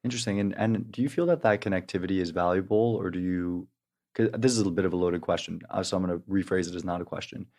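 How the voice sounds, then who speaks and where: A man hosting a podcast interview, talking in a relaxed, conversational way. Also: deep voice, fast talker. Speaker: podcast host. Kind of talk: casual interview chat.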